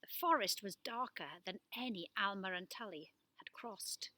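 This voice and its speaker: A woman giving an expressive, animated reading of a children's story. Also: mid-range voice, measured pace. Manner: animated delivery with acted-out dialogue. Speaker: storybook reader.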